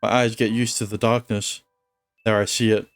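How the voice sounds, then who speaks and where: A male streamer who reads visual novel narration aloud in a steady tone and chats casually between lines. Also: on the low side, normal speed, soft background music.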